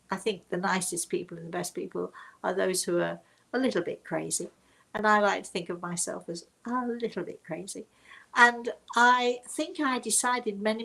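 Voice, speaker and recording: Older woman storyteller with a creaky voice. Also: medium pitch, fairly bright tone, rough recording. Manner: emphatic, deliberate, with rhetorical questions and drawn-out words. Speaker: speaker and storyteller hosting her own show. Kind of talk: reflective personal storytelling addressed to viewers.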